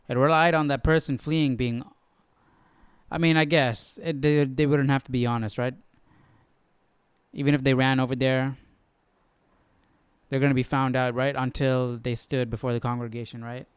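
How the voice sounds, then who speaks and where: A man's voice: mid-range voice, little pitch movement, moderate pace, long pauses, clear, resonant tone, no room sound. A man hosting a Bible study, explaining casually and tentatively as he thinks aloud, often checking himself. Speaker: host of a Bible study stream.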